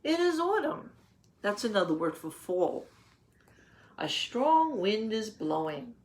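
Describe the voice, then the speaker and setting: A woman's voice: mid-range voice, big pitch swings, slow, darkish tone. A woman teacher reading a picture book aloud to young children, patiently explaining in simple words and putting questions to the listeners.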